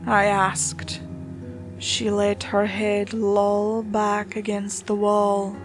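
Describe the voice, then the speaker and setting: A woman reading a horror story as scripted dramatic narration in a measured, solemn manner. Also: mid-range voice, monotone, over music.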